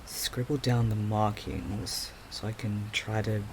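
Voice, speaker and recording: A man playing a restless, overthinking investigator with magical sight in an audio drama, muttering haltingly to himself. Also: lowish voice, flat delivery, background noise. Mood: concentrating.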